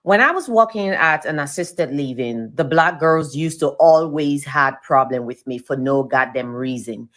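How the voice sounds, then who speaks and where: A woman with a thick Sierra Leonean accent, fairly low but swinging her pitch widely. She talks frankly and with open exasperation, now and then letting a curse slip. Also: animated, measured pace, few pauses, a bit bright. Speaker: video clip speaker. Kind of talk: personal testimony.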